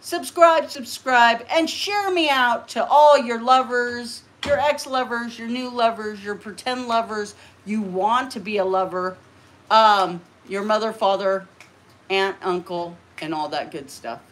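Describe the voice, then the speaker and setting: A woman's voice: fairly high pitch, a little slow, rough recording. A middle-aged woman hosting a casual livestream from her living room, chatty and rambling, often laughing. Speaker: livestream host. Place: living room, green party decorations.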